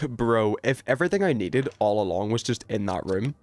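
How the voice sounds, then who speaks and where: A man doing casual, excitable gaming commentary, thinking aloud in slang as he chats with stream viewers. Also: on the low side, moderate pace. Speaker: video game livestreamer.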